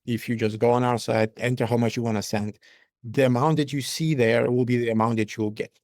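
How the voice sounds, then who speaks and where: A man, a company founder giving measured, matter-of-fact answers as an interview guest. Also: mid-range voice, steady tone, darkish tone, no echo, studio quality. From Lithuania.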